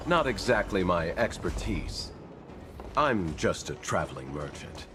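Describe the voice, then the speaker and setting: An actor playing a courteous, stoic traveling merchant in a video game, speaking formally and with composure. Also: male voice, low-pitched, big pitch swings, a little slow, noisy background. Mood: calm, mild. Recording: music behind the voice.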